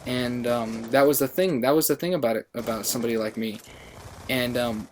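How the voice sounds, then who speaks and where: A man's voice: medium pitch, fairly even intonation, a little fast, a little hiss or hum. An American man casually telling a story over gameplay, rambling and often interrupting himself. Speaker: gaming channel storyteller.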